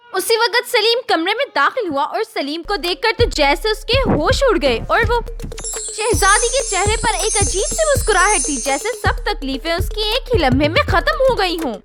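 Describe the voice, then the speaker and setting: A woman reads a scripted children's story as narrator. Her voice is extremely high and moves about with animated intonation. Music plays behind her.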